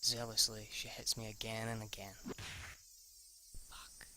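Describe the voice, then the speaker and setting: A man's voice: fairly low pitch, level tone, a little slow, bright, forceful tone, slight background noise, poor audio. A man reading a visual novel aloud as an amateur let's player, in narration that can stumble and restart. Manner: stumbles over words and rereads lines; casually foul-mouthed when reacting. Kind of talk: amateur read-aloud narration with off-the-cuff reactions.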